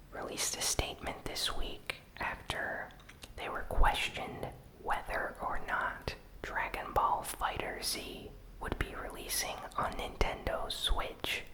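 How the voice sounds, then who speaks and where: The voice is a soft, breath-only whisper from start to finish. This ASMR host recaps gaming news at a slow, deliberate pace. Short phrases are set apart by small pauses. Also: rough recording.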